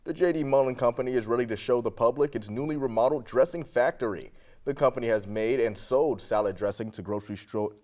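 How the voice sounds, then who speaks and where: A man's voice: medium pitch, darkish tone. An American man reading scripted local news as an anchor.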